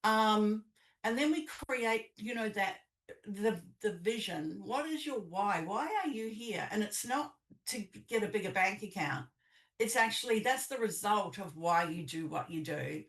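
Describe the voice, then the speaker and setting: A woman's voice: mid-range voice, expressive, a little slow. An older woman with a New Zealand accent talking conversationally as an interview guest about her own work. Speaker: podcast guest, business coach. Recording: echoey room, distant mic.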